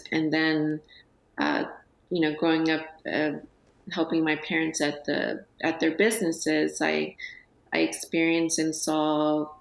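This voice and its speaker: A woman in her forties talks slowly as a studio guest, her voice low for a woman. She speaks softly and hesitantly, full of pauses and fillers. The studio sound is roomy. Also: flat delivery, creaky. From United States. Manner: soft-spoken, hesitant with many fillers. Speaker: guest, business owner. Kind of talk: TV interview.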